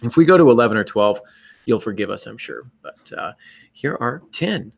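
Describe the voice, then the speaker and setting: A man's voice: mid-range voice, expressive, normal speed, vocal fry. A man hosting a podcast, chatting casually with a bantering, joking manner. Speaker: podcast host. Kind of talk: casual live podcast chat.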